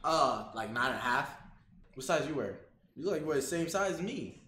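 A man's voice: a little high, a little fast, a little hiss or hum. Young man, streamer host, casual chat, swings from mumble to outburst. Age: young adult. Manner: animated, swings from mumbling to loud laughing outbursts. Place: living room, indoors. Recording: echoey living room.

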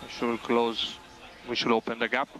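A man's voice: medium pitch, little pitch movement, moderate pace, sharp tone, slight background noise, low-quality recording. A man working as a race engineer, giving clipped, factual updates over team radio and repeating key phrases for clarity. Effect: team radio transmission.

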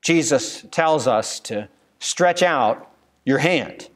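A man's voice: a little high, lively intonation, slow pace. A man in his thirties, a pastor preaching a sermon at a church pulpit, earnest and given to rhetorical questions. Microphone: pulpit microphone.

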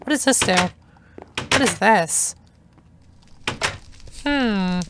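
A young woman chatting casually through a game playthrough, hoarse from talking, rambling and enthusiastic. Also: mid-range voice, expressive, very slow, background noise. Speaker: gameplay narrator. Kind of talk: casual let's-play commentary.

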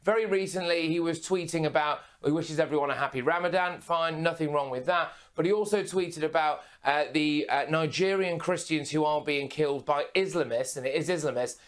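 A young man giving brisk, emphatic news commentary as a guest presenter from a remote studio. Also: on the high side, a bit bright. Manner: brisk, emphatic, punchy phrasing. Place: remote studio with city view.

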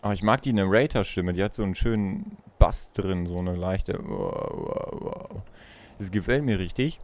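A man's voice: low voice, big pitch swings, moderate pace, creaky, a little mellow. A young man giving casual let's play commentary, chatting and thinking aloud as he goes. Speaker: let's player.

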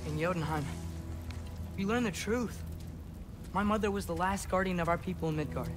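Guy playing a teen boy demigod in a game cutscene, high voice, pleading and earnest, music behind. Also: normal speed, lightly acted. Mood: hesitant, hopeful. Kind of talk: video game cutscene acting.

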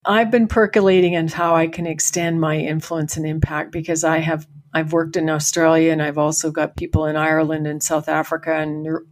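An older woman coach from Canada talks in a low voice that keeps an even, level line. She sounds upbeat and encouraging. She is heard over a remote call as a podcast guest. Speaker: farm family coach. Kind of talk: podcast interview.

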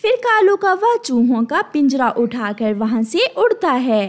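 A woman's voice: high-pitched, sing-song, unhurried. A woman narrating a scripted children's story.

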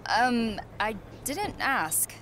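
A woman's voice: on the high side, sing-song, very slow, fairly bright tone, slight background noise, heavily acted, low-quality recording. A woman voicing a confident young female rookie wrestler who talks coolly. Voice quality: confident, cool.

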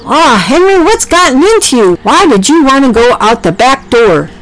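A woman's voice: sits high, sing-song, moderate pace, faint music behind, pitched above the performer's own voice, rough recording. A woman voicing a kindly farmhand, exasperated yet fond, in a children's story. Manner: exasperated, affectionate.

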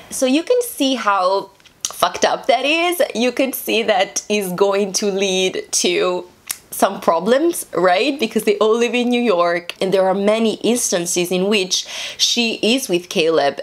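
A woman in her thirties chatting casually to camera about books she has read, animated and talking with her hands, recorded indoors on a camera in front of her. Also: mid-range voice. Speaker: book vlogger. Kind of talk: casual book review chat. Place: small indoor room, seated at camera.

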